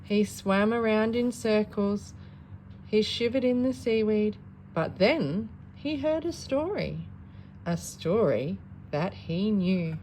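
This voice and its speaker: A woman reading a children's storybook aloud in an animated, sing-song way with dramatic pauses. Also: mid-range voice, slight background noise, rough recording. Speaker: parent reading a bedtime story.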